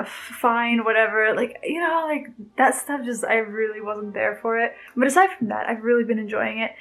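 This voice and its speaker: A woman's voice: on the high side, rough recording. Chatty young woman vlogging about a book. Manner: emotional, gushing, lots of "like". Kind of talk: casual read-along vlog.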